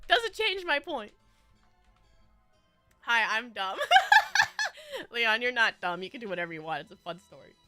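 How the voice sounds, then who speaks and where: Stuffy-nosed woman streamer, high and lively, giggly rambling chat. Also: measured pace, pauses often, over music. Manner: bubbly, rambling, frequent giggles. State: stuffy nose. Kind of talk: casual chat with viewers.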